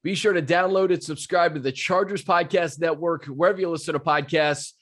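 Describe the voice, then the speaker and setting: Male podcast host, slightly high voice, friendly and smooth on the sign-off. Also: a little fast, fairly bright tone. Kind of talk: casual interview and show outro.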